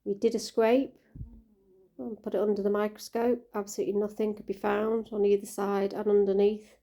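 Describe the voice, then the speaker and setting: Croaky-voiced woman, gentle and chatty. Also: mid-range voice, flat delivery, normal speed. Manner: gentle, caring, chatty with fillers. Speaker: koi keeper vlogger. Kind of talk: casual vlog narration.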